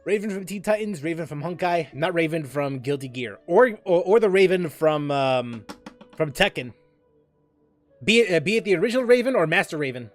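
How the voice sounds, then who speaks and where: Animated male gaming streamer, rambling. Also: on the high side, brisk, soft background music. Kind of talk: casual game commentary.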